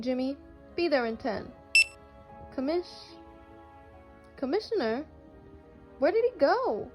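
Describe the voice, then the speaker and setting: An eager young woman reporter acted by a woman in a superhero radio play. Her voice is high and determined, with soft music underneath.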